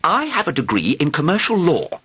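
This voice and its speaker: A man's voice: sits low, wildly swooping pitch, normal speed, fairly bright tone, breathy edge, exaggerated voice. Man doing a nervous, fussy lawyer. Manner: nervous and flustered. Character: fussy, nervous middle-aged male lawyer.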